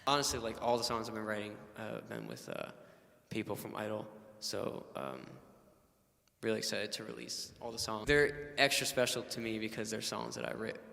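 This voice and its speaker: Laid-back young man with a gravelly voice. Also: fairly low pitch, moderate pace, sharp tone, big-room sound. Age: late 20s. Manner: laid-back, lots of fillers and "man", "dude". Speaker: singer-songwriter guest. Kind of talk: casual chat.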